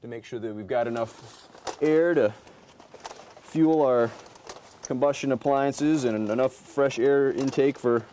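A man in his thirties, an energy auditor, explaining an on-site home inspection in a relaxed way with many fillers, recorded in a house basement. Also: mid-range voice, a little slow, pauses often, slight background noise. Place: basement crawl space and attic of a house.

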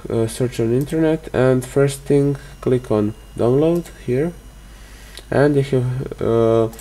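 A man narrating a screen-recorded software tutorial, halting and hesitant with many fillers. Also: mid-range voice. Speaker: tutorial host.

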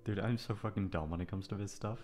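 A man's voice: lowish voice, fast, darkish tone. A man in his twenties chatting casually and hesitantly to listeners, self-deprecating and swearing freely as he rambles. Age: 20s. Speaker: voice actor addressing listeners. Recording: music playing behind the voice. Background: background music.

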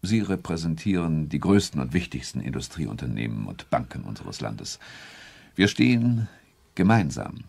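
An actor playing a formal, sardonic, authoritative state premier in a radio drama. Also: male voice, a bass voice, very animated, normal speed, gravelly, a little mellow, breathy edge, exaggerated voice. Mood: grave. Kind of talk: German radio drama acting.

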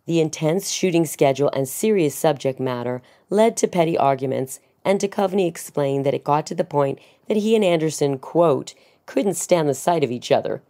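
A woman's voice: low-pitched, expressive, moderate pace, dark tone. A middle-aged woman reading scripted celebrity news as a voice-over narrator.

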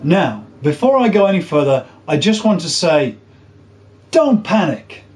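A man in his forties explaining science to camera as a presenter, with emphatic, drawn-out stress on key words. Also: fairly high pitch, very animated, darkish tone. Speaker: presenter of a space science video series. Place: small indoor room.

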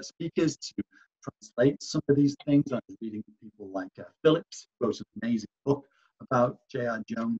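A man's voice: mid-range voice, muffled recording. A man giving an artist talk over slides, hesitant and full of restarts and fillers. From Wales.

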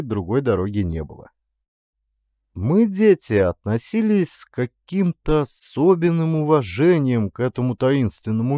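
A man narrating a literary story as an audiobook actor, with deliberate, dramatic phrasing and weighty pauses. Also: medium pitch, warm tone, no room sound, studio quality.

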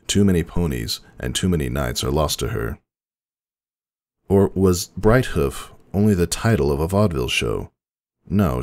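Super deep guy narrating a dramatic story, dry close sound. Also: a little mellow, dry recording.

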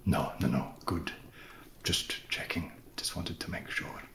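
A very low, slow-talking vampire acted by a man with a mock foreign vampire accent. He is soft-spoken, halting and awkwardly friendly, desperate to seem trendy in an ASMR comedy. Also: reverberant. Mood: awkwardly welcoming. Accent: put-on foreign vampire accent.

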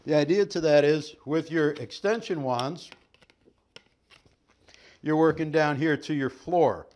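A man's voice: on the high side, unhurried, a little mellow, slight background noise. Upbeat, folksy man demoing gear. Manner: folksy, upbeat, jokey patter while handling gear. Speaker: sales trainer. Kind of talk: product demonstration. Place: staged living room set, indoors.

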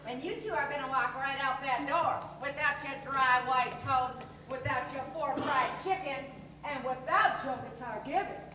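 A woman's voice: sits high, normal speed, hardly any pauses, bright, forceful tone. A woman on stage playing a feisty, bossy diner waitress who talks in a sassy, folksy, scolding way. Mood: angry scolding. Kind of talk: amateur stage play acting. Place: theatre stage, far camera. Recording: echoey theatre hall from far off, noisy.

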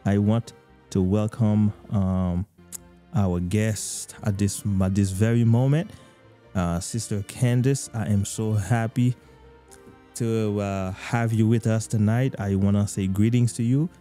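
A young man hosting a live faith talk show over a video call, preaching earnestly with many filler pauses, on a studio microphone. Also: on the low side, steady tone, warm tone, soft background music. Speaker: host. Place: home room, video call. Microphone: studio mic with headphones.

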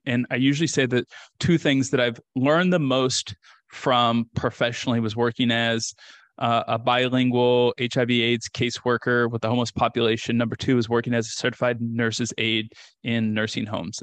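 A man's voice: mid-range voice, little pitch movement, brisk, fairly bright tone, dry recording. A man in his thirties from rural Kansas, a guest telling his story on a video call in an earnest, reflective way. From rural Kansas, USA. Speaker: interview guest. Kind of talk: casual interview storytelling. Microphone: video call with desk mic.

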